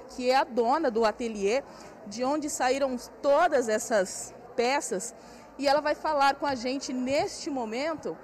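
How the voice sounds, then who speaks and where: A woman's voice: sits high, a little hiss or hum. A woman in her thirties with a Brazilian accent, doing a live TV field report at an indoor craft fair on a handheld mic, chatty and guiding viewers around. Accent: Brazilian Portuguese. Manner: chatty, walks and points while talking. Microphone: handheld station mic.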